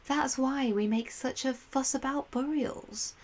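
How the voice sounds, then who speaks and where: A woman's voice: high voice, sing-song, measured pace, voice pushed up. A woman voicing a breezy slangy seer. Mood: matter-of-fact. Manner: cheerful, casual slang. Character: breezy, slangy female seer guiding the hero.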